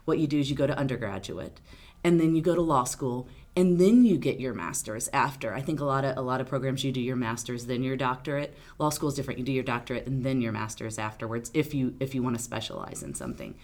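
A woman attorney from Arkansas, answering interview questions in a loud, outgoing, talkative way. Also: extremely low pitch, animated, quick pace. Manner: loud, big personality, talkative. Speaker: attorney guest.

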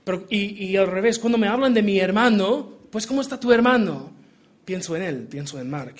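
A man's voice: high-pitched, expressive, fairly quick. A young man raised in Portugal preaching, his Spanish accented by Portuguese and American English; earnest, with many restarts. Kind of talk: sermon, Bible reading and prayer.

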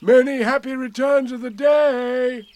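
An eager, cheerful toy bear voiced by a man from a children's storybook. He is simple and earnest, with lively swings in pitch. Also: measured pace, no echo. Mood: excited.

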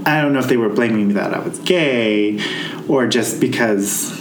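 Reflective man talking in a roomy space. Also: medium pitch. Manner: halting, heavy use of "like", reflective. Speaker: podcast co-host. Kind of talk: candid personal conversation. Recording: roomy echo, faint background noise.

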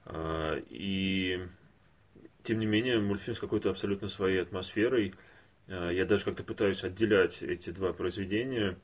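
A man's voice: low voice, normal speed. A man co-hosting a podcast, discussing reflectively and conversationally, analytical and often searching for words. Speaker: podcast co-host.

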